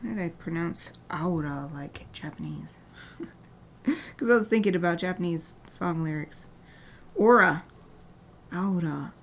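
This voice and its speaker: A woman artist chatting casually during a livestream as she paints, rambling and often dropping to a soft murmur, with light laughter. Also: on the low side, measured pace, pauses often, a little hiss or hum. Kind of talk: casual chat while painting.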